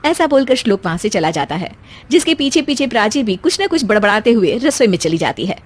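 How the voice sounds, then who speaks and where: A woman reading scripted narration for an animated family story. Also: medium pitch, a little fast.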